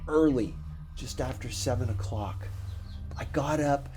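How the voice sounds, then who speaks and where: A man reading a first-person story expressively, with a measured, dramatic delivery. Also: medium pitch, noisy background. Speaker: audiobook narrator.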